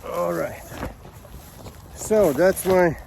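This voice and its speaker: A man giving a casual outdoor gear demonstration as a video host, halting as he thinks aloud. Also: sits high, creaky, darkish tone, a little hiss or hum, rough recording. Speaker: amateur radio video host. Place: outdoors on a bushy hilltop.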